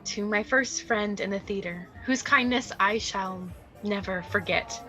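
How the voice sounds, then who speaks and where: An actress playing a celebrated young stage star in a radio drama, poised and falsely humble, speaking in measured, formal tones. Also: female voice, mid-range voice, bright, forceful tone, soft background music, rough recording. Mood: gracious, grand. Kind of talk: theatrical radio drama acting.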